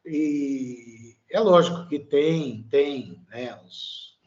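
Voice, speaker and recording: Hesitant Brazilian man, mellow and muffled. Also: mid-range voice, extremely slow. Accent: Brazilian Portuguese. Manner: hesitant, many fillers and trailing "né?". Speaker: guest, immigrant living in Italy. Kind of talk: casual interview chat.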